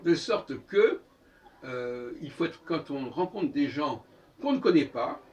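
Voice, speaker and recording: Higher-voiced Frenchman lecturing, very animated intonation. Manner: halting, self-correcting, emphatic repetition of phrases. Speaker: speaker giving a philosophical talk. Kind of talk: improvised monologue, opinionated lecture. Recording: distant microphone, noisy room ambience.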